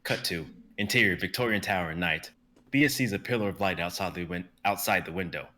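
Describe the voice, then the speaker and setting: A young man narrating stage directions in a live script reading, brisk and vivid, with the odd stumble. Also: deep voice, bright, forceful tone. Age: young adult.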